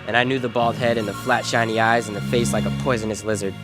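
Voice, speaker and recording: An actor playing a hard-boiled, wisecracking private detective in a noir radio drama, terse and wry. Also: male voice, fairly low pitch, steady tone, quick pace, over music, lightly acted. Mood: grim narrating. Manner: wry, terse, tough-talking. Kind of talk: noir radio drama acting and first-person narration.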